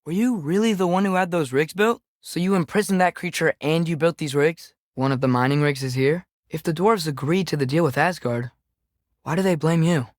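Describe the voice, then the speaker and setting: A man's voice: a little high, a little fast, no echo, studio quality, lightly acted. A young male actor with an American accent voicing an earnest, curious teenage boy adventurer in a video game, speaking in a casual, questioning way. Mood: inquisitive, matter-of-fact. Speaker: video game companion character. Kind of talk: scripted game dialogue, conversational.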